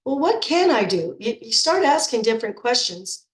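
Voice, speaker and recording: A woman's voice: on the high side, sing-song, normal speed, reverberant. Stuffy-voiced middle-aged woman coach. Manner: chatty, repeats words when restarting. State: stuffed up with a cold. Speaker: nutrition coach. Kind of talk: informal coaching talk to program members.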